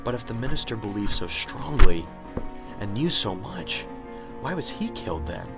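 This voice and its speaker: A man's voice: lowish voice, sing-song, moderate pace, vocal fry, loud music behind the voice. A man playing a nervous, unsure professor in an amateur radio drama, muttering hesitantly to himself and stammering. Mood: pensive, troubled. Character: nervous, unsure, bookish male professor, anxious and brooding.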